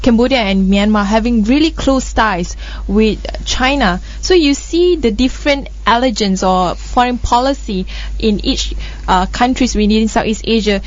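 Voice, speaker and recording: A woman hosting a radio current affairs discussion, speaking in a measured way with frequent fillers and restarts. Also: fairly high pitch. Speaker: radio show host. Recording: slightly muffled studio audio.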